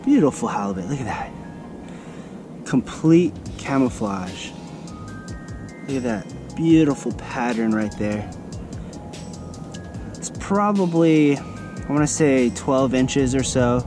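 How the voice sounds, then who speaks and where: A man's voice: medium pitch, big pitch swings, slow pace, long pauses. A man in his thirties, presenting an instructional vlog in a casual, step-by-step manner. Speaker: fishing vlogger presenting a tutorial. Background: background music.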